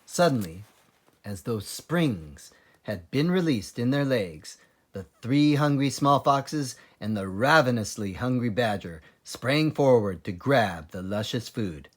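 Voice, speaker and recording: A man narrates a children's story with dramatic expression. His delivery is slow and deliberate, with lively rises and falls in pitch. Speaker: children's story reader, librarian. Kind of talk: expressive scripted read-aloud narration.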